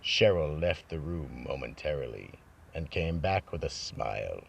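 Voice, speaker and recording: A man playing the grave narrator of a radio mystery drama, telling the tale in a measured, solemn way with a gravelly, husky voice. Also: extremely low pitch, very animated, a little hiss or hum, heavily acted.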